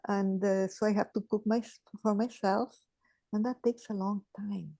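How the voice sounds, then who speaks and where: Soft-toned woman in her eighties. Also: mid-range voice, fairly even intonation, no echo. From Chile. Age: 80s. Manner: halting, thoughtful, many restarts. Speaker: participant sharing in a group. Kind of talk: casual sharing on a video call.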